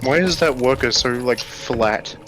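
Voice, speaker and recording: A man's voice: mid-range voice, measured pace, crowd noise. A man bantering casually on voice chat in a crude, teasing way. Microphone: online voice chat.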